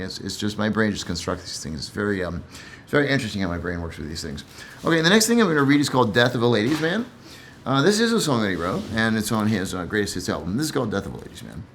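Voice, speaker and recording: A man's voice: on the low side, a bit bright, slight background noise. A man who reads and comments on poetry in a casual, reflective way, somewhat hesitant and repeating himself.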